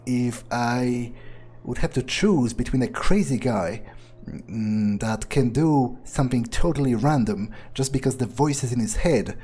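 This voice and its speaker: A man's voice: medium pitch, a little slow, dark tone, husky. A man thinking aloud in character as he plays a video game, blunt, profane and musing. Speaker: video game player role-playing a character. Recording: game music under the voice. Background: game music.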